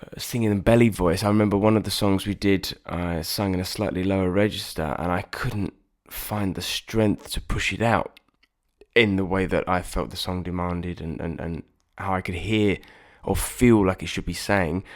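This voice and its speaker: Male singer-songwriter, deep nasal voice, rambling and self-critical vlog chatter. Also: normal speed. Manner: rambling, self-deprecating, frequent restarts. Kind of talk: reflective casual vlog talk.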